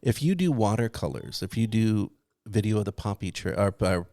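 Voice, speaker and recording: A man's voice: on the low side, expressive, normal speed, warm tone. A man chatting casually on a podcast in a rambling, self-deprecating way. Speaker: podcast cast member and guest painter.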